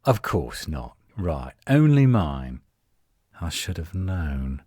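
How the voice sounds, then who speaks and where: A man playing a defensive, flirtatious tsundere werewolf in a roleplay audio drama, indignant and sarcastic with a husky voice. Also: very deep voice, big pitch swings, slow, dark tone, no echo, studio quality, exaggerated voice.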